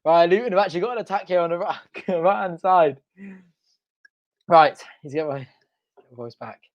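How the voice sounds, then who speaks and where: Young man, slightly high voice, excitable fan commentary, hoarse from shouting. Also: normal speed, highs cut off. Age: young adult. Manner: excitable, shouting and chanting at goals. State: losing his voice. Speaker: fan channel host. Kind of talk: live football watch-along commentary. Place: home front room.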